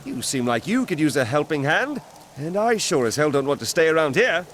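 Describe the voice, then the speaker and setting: A man voicing a roguish, smooth-talking thief who is sly and flirtatious. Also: a little high, sing-song, a little fast, fairly bright tone. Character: roguish charming male thief and scoundrel. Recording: noisy background.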